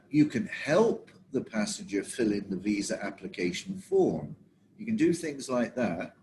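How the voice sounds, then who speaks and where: A man's voice: mid-range voice, darkish tone, slight background noise. A middle-aged man giving a training lecture to travel agents, talking conversationally and often checking in with his listeners.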